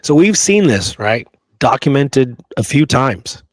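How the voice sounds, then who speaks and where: Male history-show co-host, conversational but emphatic, mid-pitched, dry sound. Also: sing-song, no echo. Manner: emphatic, with drawn-out key phrases and rhetorical questions. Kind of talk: conversational debunking discussion of an old photograph.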